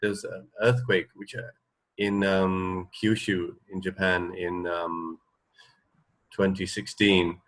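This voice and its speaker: Male co-host, middle-aged, lower voice, loose conversational delivery that feels its way through each thought. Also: flat delivery, rough recording. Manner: tentative, halting, thinking aloud. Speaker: radio show co-host. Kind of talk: casual chat.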